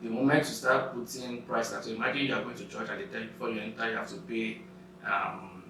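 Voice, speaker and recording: Young man, forceful bright voice, methodical explaining, casual discussion, very roomy distant recording. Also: medium pitch, level tone, quick pace, gravelly. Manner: explains step by step, defining terms. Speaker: guest on a youth discussion show. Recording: echoey room, distant mic, faint noise.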